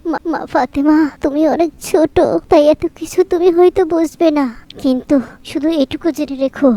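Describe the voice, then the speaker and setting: Cartoon: woman as devout worried mother, high gravelly dark voice. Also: dry recording. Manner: tender, pleading, prayerful speech.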